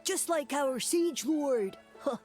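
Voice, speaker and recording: A dopey little mushroom follower from a video game. The voice is high and bouncy in pitch, gushing yet slow to get the words out. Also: female voice, lively intonation, darkish tone, soft background music. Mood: admiring. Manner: halting, gushing. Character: admiring, naive, dim little fungus sidekick creature.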